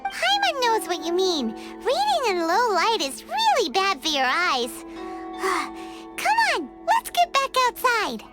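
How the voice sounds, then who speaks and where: A woman voicing a tiny, excitable fairy companion who refers to herself in the third person, in video game dialogue. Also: very high voice, slow pace, exaggerated voice. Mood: sympathetic. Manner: speaks of herself by name, bouncy and curious. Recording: game audio with loud music behind.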